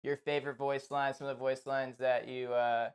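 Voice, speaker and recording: A man's voice: medium pitch, little pitch movement, normal speed. Enthusiastic man hosting a casual interview. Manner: enthusiastic, appreciative. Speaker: interviewer, show host.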